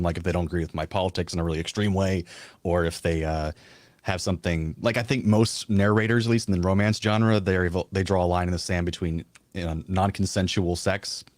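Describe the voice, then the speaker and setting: A man's voice: low-pitched, dry recording, clean studio sound. A man who is a voice actor, chatting casually and laughing often as an interview guest. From American South.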